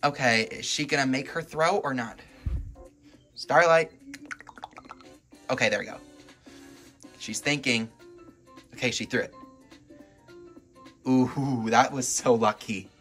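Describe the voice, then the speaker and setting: Bright-voiced, excitable man commentating a game. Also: medium pitch, slow, long pauses, faint music behind. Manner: excitable, reacts aloud to play. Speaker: gaming video host. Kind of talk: casual gameplay commentary. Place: indoors at home, filming a TV.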